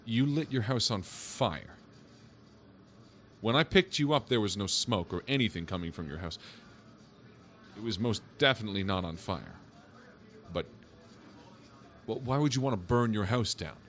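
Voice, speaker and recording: A man's voice: lowish voice, lively intonation, normal speed, long pauses, fairly bright tone. An actor playing a skeptical male police officer who teases and jokes in a dry way. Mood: doubtful. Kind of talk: audio drama acting.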